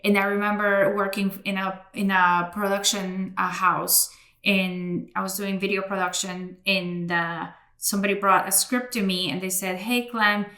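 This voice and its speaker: A bright, clear-toned woman in her forties, from Colombia, speaking with an American accent. She talks in a loose, chatty flow with plenty of fillers and restarts, laughing easily. The sound bounces around an echoey room. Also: medium pitch, level tone, moderate pace. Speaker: guest. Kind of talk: casual interview chat.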